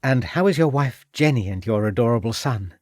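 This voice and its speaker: A man voicing an affectionate, eager young Victorian wife who pleads and gushes in a lifted, lively voice. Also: mid-range voice, brisk, no room sound, studio quality, lightly acted. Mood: polite. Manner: gushing, pleading.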